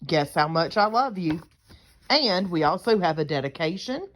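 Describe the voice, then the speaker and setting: A woman's voice: lowish voice, expressive, normal speed. A woman teacher reading a picture book aloud to young children, explaining things in simple terms.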